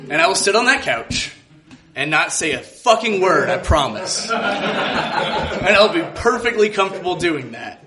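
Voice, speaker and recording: A man's voice: high-pitched, sing-song, moderate pace, a crowd in the background. A man telling a personal story live to a room, speaking in an earnest, reflective way. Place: live event in a room with an audience.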